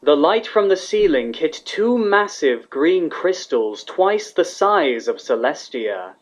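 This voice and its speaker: A man reading fan fiction aloud as a scripted story narrator. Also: on the high side. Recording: dull, lo-fi sound.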